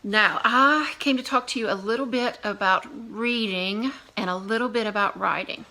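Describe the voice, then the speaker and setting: A woman English teacher giving a video lesson to young students in an animated, conversational way with emphatic repetition. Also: medium pitch, moderate pace, low-quality recording.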